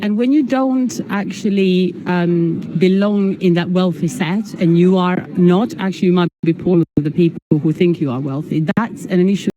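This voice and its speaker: A female investigative reporter gives explanations in a broadcast interview. Her voice is dark and mellow, with a relaxed tone. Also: lowish voice, normal speed, hardly any pauses, lo-fi sound.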